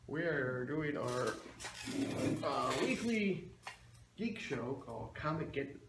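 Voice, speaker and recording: Male co-host, medium pitch, loose jokey banter, reverberant room. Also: very animated, takes it slowly. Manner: crude, joking. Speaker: co-host of a weekly geek show. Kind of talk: casual off-the-cuff banter. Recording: echoey room with noticeable background noise.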